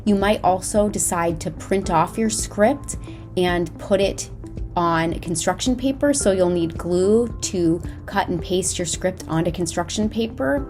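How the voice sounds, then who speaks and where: A woman presents an instructional lesson in the manner of a drama teacher. Her delivery is measured and explanatory with careful enunciation, and music plays behind her. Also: fairly low pitch, darkish tone. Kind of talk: scripted instructional narration. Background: background music.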